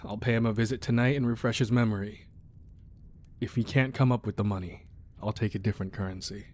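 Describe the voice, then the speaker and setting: A man playing a weary, menacing crime boss with a tender side, in a controlled, deliberate ASMR roleplay delivery. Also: on the low side, fairly even intonation, a little mellow, natural voice. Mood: stern, menacing. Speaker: audio roleplay voice actor. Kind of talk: ASMR roleplay acting, close and dramatic.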